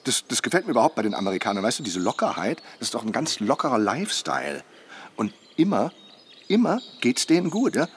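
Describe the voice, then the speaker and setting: An actor playing a boisterous, jovial middle-aged husband in a naturalistic radio drama, garrulous and bantering. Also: male voice, mid-range voice, very animated, fast, a little hiss or hum, natural voice. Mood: enthusiastic, mocking.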